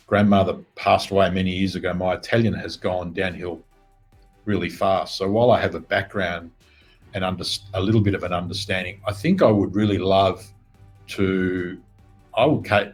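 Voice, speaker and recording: Earnest middle-aged male business coach. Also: sits low. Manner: steady, earnest, reflective. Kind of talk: interview answers.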